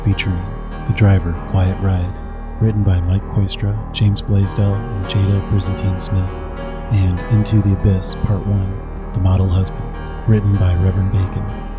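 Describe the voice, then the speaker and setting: Booming deep male announcer. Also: little pitch movement, normal speed, over loud music. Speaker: show announcer.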